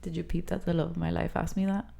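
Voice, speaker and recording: Low-voiced laid-back young woman. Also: flat delivery, quick pace, vocal fry, dark tone. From Miami, Florida. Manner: laid-back, giggly, frank. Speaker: podcast guest, model. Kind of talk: casual chat.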